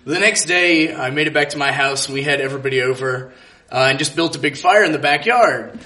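A man's voice: on the high side, sharp tone. A man telling a personal story live to a room, speaking in an earnest, reflective way. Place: live event in a room with an audience.

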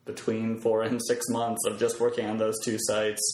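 A man talking casually as a podcast guest, explaining his web development work in measured, halting phrases. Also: on the low side, completely flat delivery, a little mellow. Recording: roomy echo, faint background noise.

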